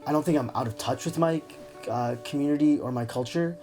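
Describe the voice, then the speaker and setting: A man's voice: a little high, brisk, dark tone, soft background music. A young man speaking candidly and reflectively in an interview, often hesitating as he searches for his words. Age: young adult. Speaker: interview subject.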